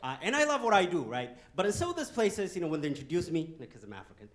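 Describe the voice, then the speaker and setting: A man from Ethiopia, giving a joking, animated motivational talk to a youth audience in a conference hall and throwing questions at the crowd. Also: high-pitched, quick pace. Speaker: guest speaker.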